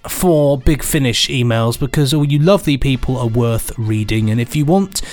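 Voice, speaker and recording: A British man co-hosting a podcast in casual, rambling comic banter with a deadpan, mock-indignant manner. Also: medium pitch, moderate pace, dry recording, soft background music. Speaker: podcast co-host.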